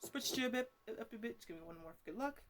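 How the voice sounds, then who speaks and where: A woman's voice: fairly high pitch, lively intonation, fast talker, background noise, natural voice. A woman playing a teasing, slangy botanist who chats to her plants in a casual ASMR roleplay. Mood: teasing, scolding. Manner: rambling, bantering, slangy.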